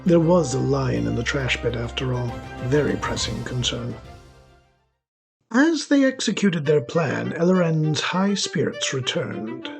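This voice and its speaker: A man's voice: mid-range voice, animated, measured pace, gravelly. A man narrating a scripted story as a storyteller. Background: music.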